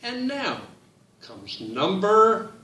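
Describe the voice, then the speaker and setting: A man reading his picture book aloud to children, in a slow, sing-song storytime voice that speaks to them directly. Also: sits high, big pitch swings, bright, forceful tone, roomy sound, distant mic, a little hiss or hum.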